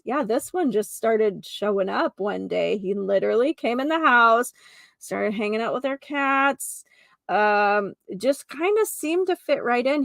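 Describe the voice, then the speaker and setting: Female podcast host, mid-pitched with noticeable vocal fry, unhurried, conversational delivery, speaks straight to the listener. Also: no room sound. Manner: conversational, emphatic, addresses listener directly. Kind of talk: casual solo podcast talk with anecdotes.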